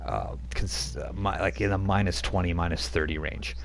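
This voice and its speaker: A man's voice: sits low, steady tone, moderate pace, a little hiss or hum. A man narrating a tabletop game as game master, rambling with many fillers and restarts.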